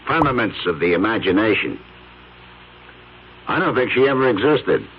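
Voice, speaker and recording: An actor playing a gruff, world-weary police detective, colloquial and matter-of-fact, in an old-time radio drama. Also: male voice, on the low side, big pitch swings, normal speed, heavily acted. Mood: matter-of-fact, skeptical. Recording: dull, hissy vintage radio recording.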